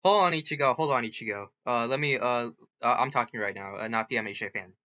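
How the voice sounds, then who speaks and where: A man hosting a casual, unscripted vlog, talking haltingly and speaking straight to a chatbot. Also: medium pitch, pushed and bright, muffled recording. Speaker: host of an online character-chatbot video.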